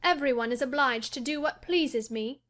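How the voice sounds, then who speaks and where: A woman's voice: high voice, lively intonation, moderate pace, fairly bright tone, pitched above the performer's own voice. A woman voicing a sickly, spoiled ten-year-old boy who talks in a demanding, cross and indifferent way. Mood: imperious. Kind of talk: audiobook character voice.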